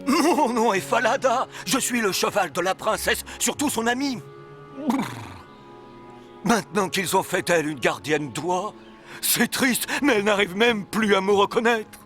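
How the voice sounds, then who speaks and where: A loyal talking horse in a fairy-tale cartoon. The voice is high for a male and speaks with earnest concern, over faint music. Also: lively intonation, a little slow, a bit bright. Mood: earnest, sad. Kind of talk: French cartoon dubbing.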